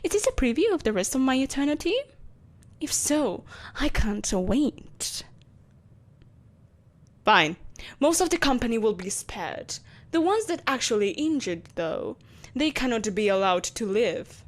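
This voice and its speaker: A woman acts out an imperious, soulless empress in a scripted audio drama. Her voice sits fairly high and sweeps widely in pitch. She is possessive and menacing, with a haughty, theatrical delivery. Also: big pitch swings. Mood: stern, irritated. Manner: haughty, theatrical, sighing exasperation, deliberate menace. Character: vengeful, possessive evil empress, soulless, imperious, coaxing a captive. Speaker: voice actor. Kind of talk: scripted audio roleplay acting.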